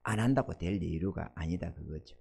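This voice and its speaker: A man in his sixties preaching a Sunday sermon as pastor, swinging between loud emphatic exhortation and soft asides, and asking the congregation for amens. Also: low voice, animated, moderate pace, gravelly, husky. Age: 60s.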